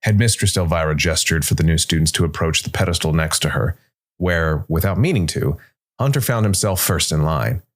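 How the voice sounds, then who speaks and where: A man narrating a scripted dark fantasy story in a measured, deliberate way. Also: a bass voice, animated. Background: music.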